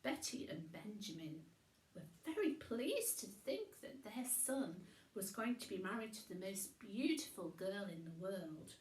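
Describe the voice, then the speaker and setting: A woman reading a children's bedtime story in a theatrical way, with dramatic pauses. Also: mid-range voice, echoey room, poor audio.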